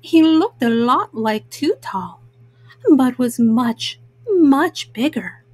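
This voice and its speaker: A woman's voice: sits high, animated, takes it slowly, no room sound. A woman storyteller narrating a children's storybook.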